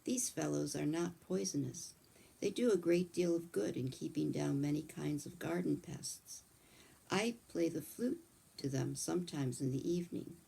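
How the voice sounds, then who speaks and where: A woman voicing a kindly old male country doctor, chatting calmly in a read-aloud storybook. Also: low voice, moderate pace, gravelly, breathy edge, heavily acted, poor audio. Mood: easygoing.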